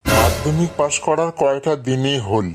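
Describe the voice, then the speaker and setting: A man's voice: medium pitch, normal speed, soft background music, lightly acted. A man voicing a pleading, exasperated village father in a comedy cartoon, with a North Bengal accent. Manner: coaxing, exasperated.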